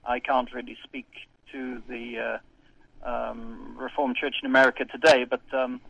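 Pastor guy, gravelly, lots of uhs, muffled lo-fi line. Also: mid-range voice, flat delivery, normal speed, dry recording. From Wales. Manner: hesitant, frequent uhs, trailing off softly. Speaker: pastor and guest. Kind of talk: radio interview.

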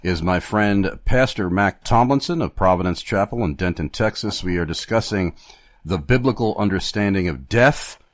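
A man hosting a radio interview, speaking formally and haltingly with many fillers. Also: low voice, no echo, studio quality. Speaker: radio show host.

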